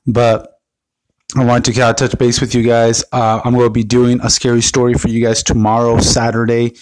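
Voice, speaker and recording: Man, podcast host, slightly raspy, casual and rambling, ordinary pitch, dry close recording. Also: monotone, normal speed, no room sound, low-quality recording. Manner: loose and rambling, with many fillers and restarts. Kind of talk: casual solo podcast update.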